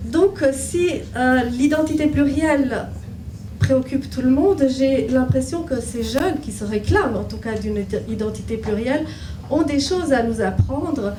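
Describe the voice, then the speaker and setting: A woman's voice: high-pitched, animated, normal speed, reverberant, recorded from a distance, noisy background. A woman moderating a public debate, introducing the panel in a formal, inviting way. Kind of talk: event moderation.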